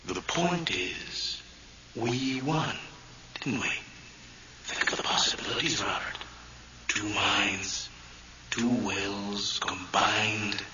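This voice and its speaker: An actor playing the vengeful ghost of a dead twin brother, taunting with sinister whispery menace in a vintage radio horror drama, on a noisy old recording. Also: male voice, on the low side, slow, pauses often, muffled recording. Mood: sly persuasion.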